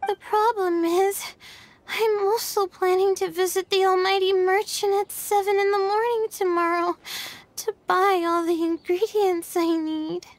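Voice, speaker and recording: A woman voicing a sleepy, gentle young student in a video game, with a hesitant manner. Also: very high voice, fairly even intonation, measured pace, dry recording. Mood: earnest, worried. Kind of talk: video game character acting. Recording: music playing behind the voice.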